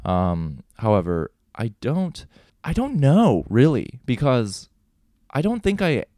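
A man's voice: medium pitch, huge pitch swings, takes it slowly, mellow, dry recording, studio quality. A young adult man co-hosting a podcast in a joking, wry way, swearing casually. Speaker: podcast co-host. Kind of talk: casual chat.

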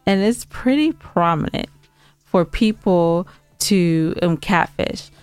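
A woman chats casually as a podcast co-host in a slightly low, clear voice. She takes her time and has a playful, teasing way of talking. The recording is close and dry, with faint music underneath. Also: animated, takes it slowly, a little mellow, no echo.

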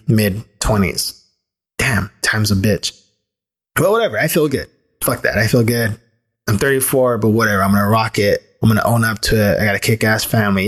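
A man in his thirties hosting a solo podcast, talking candidly and thinking aloud with restarts and the odd swear word. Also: medium pitch. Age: 30s. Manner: casual with occasional swearing. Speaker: podcast host. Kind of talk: solo reflective podcast monologue.

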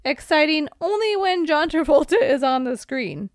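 High-pitched playful woman chatting casually. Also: expressive, normal speed, no echo, studio quality. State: tired. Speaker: podcast co-host. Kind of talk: casual film chat.

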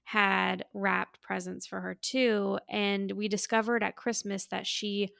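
A woman's voice: mid-range voice, level tone, takes it slowly, gravelly, fairly bright tone, dry recording, clean studio sound. A woman podcast host in a casual, chatty catch-up, talking in a gushing, affectionate way.

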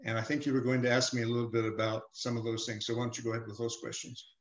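An older man, a retired professor, answering interview questions in a measured, hesitant way with many pauses and fillers. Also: mid-range voice, level tone, roomy sound. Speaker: emeritus professor, guest.

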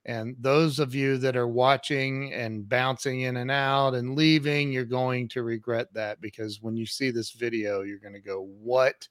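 A man's voice: medium pitch, little pitch movement, unhurried, few pauses, no echo, studio quality. A man hosting an interview, effusive and hyping his guest, often repeating himself as he talks. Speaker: host. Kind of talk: casual interview.

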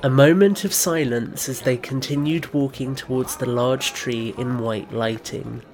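A man's voice: medium pitch, unhurried, crowd noise. A man reading scripted third-person narration as the narrator of an audio drama.

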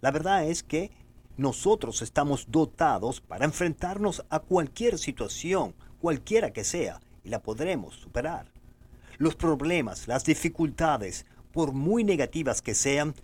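A man's voice: a little high, darkish tone, slightly rough. A middle-aged man with a Venezuelan Spanish accent delivers a scripted motivational podcast monologue in an earnest, formal, exhortative manner.

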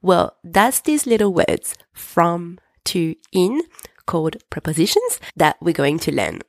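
A woman hosting a podcast lesson, patiently explaining and repeating French examples slowly. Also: fairly low pitch, sing-song, no echo, studio quality. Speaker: French teacher, podcast host.

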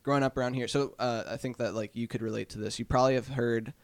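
A man's voice: medium pitch, steady tone, fast, dry recording. A young man from New Hampshire, a guest photographer, talking casually on a podcast with many fillers and restarts. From Manchester, New Hampshire.

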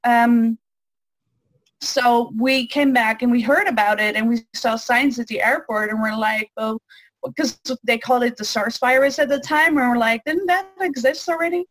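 A woman's voice: fairly high pitch, moderate pace, no echo, poor audio. A woman, answering interview questions casually with frequent ums and restarts. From Netherlands. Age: 20s. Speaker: interview guest. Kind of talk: casual interview over a call.

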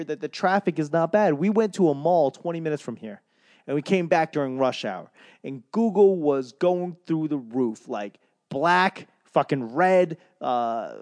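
Young dude ranting, lots of swearing and rambling, dead dry recording. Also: male voice, on the high side, no room sound. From Boston. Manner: profane, rambling, animated rant. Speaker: comedian and podcaster. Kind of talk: casual monologue.

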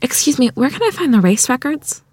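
Husky-voiced actress as a guarded, tender country wife and mother, period drama read, dry close sound. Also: sits high, sing-song, brisk, darkish tone, no room sound, exaggerated voice. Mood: hesitant. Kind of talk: screenplay table read.

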